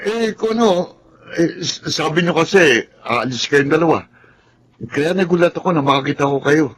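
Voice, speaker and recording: A man's voice: fairly high pitch, normal speed, fairly bright tone, a little hiss or hum, natural voice. A man acting in a Filipino radio drama as a greedy, scheming grown son, sneering and blustering. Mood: flustered, defensive.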